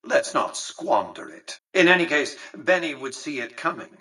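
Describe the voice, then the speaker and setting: An actor voicing an imperious, calculating tycoon in a video game, speaking in a pompous, articulate, measured and condescending way with a husky voice. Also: male voice, fairly high pitch, sing-song, bright, forceful tone, distant mic, exaggerated voice, poor audio. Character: imperious, calculating, aristocratic male casino tycoon and mastermind. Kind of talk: theatrical video game character dialogue.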